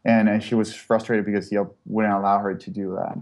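A man's voice: on the low side, little pitch movement, a little fast, a little mellow. A man from Chile, a startup co-founder, answering interview questions in a hesitant, casual way with many fillers and restarts.

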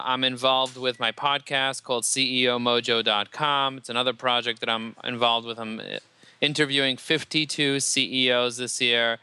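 A loud, energetic man talks up his work as a guest. His voice is very bright and crisp. The recording is close and dry, with no room sound. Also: mid-range voice, dry recording. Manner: energetic, motivational, repeats words when excited. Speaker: podcast guest, company CEO. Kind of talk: conversational interview answers.

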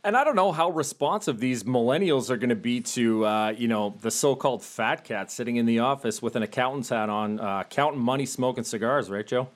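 A man's voice: medium pitch, fairly quick, few pauses. A man hosting a podcast interview, asking casual questions in a friendly way. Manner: friendly, laughs along with his guest. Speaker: podcast host.

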